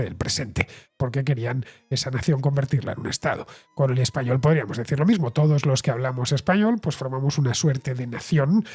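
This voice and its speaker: A middle-aged man from Spain with a Castilian accent, hosting a solo talk show and explaining ideas in a measured way with frequent hesitations. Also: medium pitch, a little mellow, breathy edge. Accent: Castilian Spanish. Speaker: host and writer.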